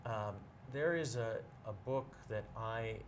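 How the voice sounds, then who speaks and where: A man in a casual interview, talking as a bookseller guest in a measured, explanatory way and pausing to choose his words. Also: on the low side, slight background noise.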